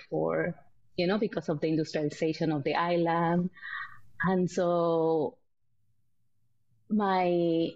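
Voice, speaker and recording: Lower-voiced Puerto Rican woman, dull audio. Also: a little mellow. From Puerto Rico. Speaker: podcast guest, herbalist and women's health educator. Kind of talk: reflective personal storytelling.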